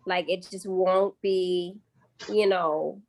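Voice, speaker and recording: A woman's voice: lowish voice, fairly even intonation, slow, a little mellow. A young woman with a Bahamian accent, answering interview questions in a chatty way with lots of fillers and restarts. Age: young adult. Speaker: guest artist.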